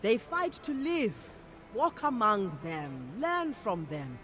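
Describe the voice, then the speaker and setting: An actress playing a bold, proud warrior priestess in a video game cutscene, speaking in a measured, declamatory way. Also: female voice, mid-range voice, huge pitch swings, fairly bright tone, exaggerated voice. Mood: confident, wry. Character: bold, commanding warrior priestess, proud champion of her people.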